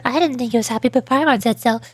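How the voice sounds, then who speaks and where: A woman's voice: fairly high pitch, fast talker, husky, dry recording, faint music behind. A woman streamer giving casual, playful, reactive commentary on a game.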